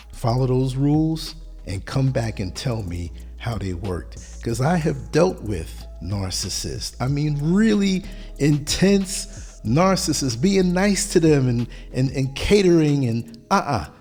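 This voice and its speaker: A man with a husky, worn-down voice that sounds close to giving out, delivering a podcast monologue in measured, emphatic sentences. His pitch swings widely as he stresses each point. Soft music plays faintly underneath. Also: lively intonation. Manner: measured, emphatic delivery in short declarative lines. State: hoarse, losing his voice. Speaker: podcast host. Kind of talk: motivational monologue on relationships.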